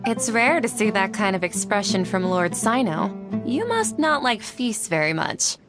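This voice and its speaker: A woman's voice: mid-range voice, big pitch swings, a little slow. A woman playing a courteous, sincere character in a video game, speaking politely and formally. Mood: curious. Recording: clear background music.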